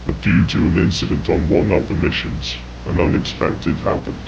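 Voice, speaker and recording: A man reading scripted horror narration in a grave, measured way, with a gravelly, whispery voice. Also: fairly even intonation. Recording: dull, noisy lo-fi audio with rumble.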